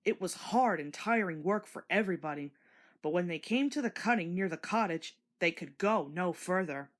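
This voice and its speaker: A woman narrating a scripted children's story in an expressive storytelling manner. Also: fairly low pitch, normal speed.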